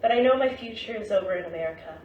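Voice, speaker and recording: A woman's voice: medium pitch, expressive, very fast, muffled recording, natural voice. A woman acting a hopeful, devoted young woman of the 1920s, reading out a letter in a staged play. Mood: tender, hopeful. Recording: roomy distant stage recording, faint noise.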